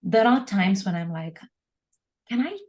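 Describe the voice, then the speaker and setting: A woman's voice: mid-range voice, animated, a little slow, darkish tone. A woman speaking as an interview guest, reflecting on personal experience in an earnest, emphatic conversational way, often repeating words for emphasis. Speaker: guest, organisational leader.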